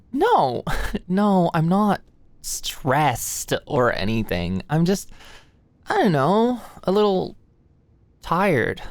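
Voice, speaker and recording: A woman's voice: medium pitch, darkish tone, no echo. A young woman acting an anxious, flustered medical student and study buddy in an ASMR roleplay, rambling and stammering apologetically.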